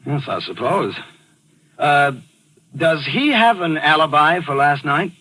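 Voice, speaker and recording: An actor playing a sly, smug, composed murderer in a 1940s radio thriller. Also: male voice, on the high side, big pitch swings, slow pace, pushed and bright, slightly rough, exaggerated voice, rough recording. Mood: guarded, casual. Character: sly male actor, smug murderer narrating his crime. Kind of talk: 1940s radio thriller acting and first-person narration. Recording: old radio broadcast recording with music.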